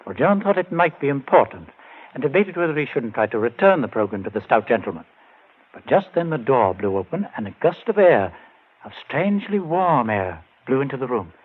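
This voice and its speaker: An actor playing a grieving brother set on finding a murderer, earnest and urgent in 1940s radio drama style. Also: male voice, medium pitch, sing-song, brisk, slightly rough. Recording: tinny old radio broadcast.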